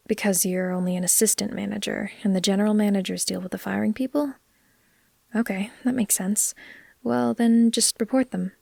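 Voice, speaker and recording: A woman playing a caring, gently teasing vampire in a soft, unhurried, comforting ASMR roleplay, sometimes slipping toward a whisper. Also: medium pitch, gravelly, no room sound, studio quality.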